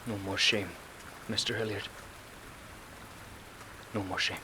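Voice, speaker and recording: An actor playing an earnest, anxious, haunted immigrant cab driver in a radio play, speaking simple broken English. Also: male voice, on the low side, flat delivery, slow pace, noisy background. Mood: shaken, grateful.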